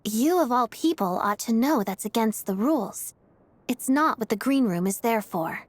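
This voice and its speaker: An actress playing a proud, reserved young stage performer with a cool, formal delivery in a video game. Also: female voice, on the high side, animated, moderate pace, dry recording. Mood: stern, weary.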